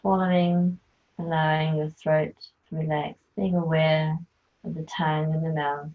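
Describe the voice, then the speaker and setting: Slow, soft-spoken woman guiding relaxation. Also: deep voice, level tone. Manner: slow, soft, soothing instruction. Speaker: yoga nidra teacher. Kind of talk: guided relaxation. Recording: tinny phone-like sound.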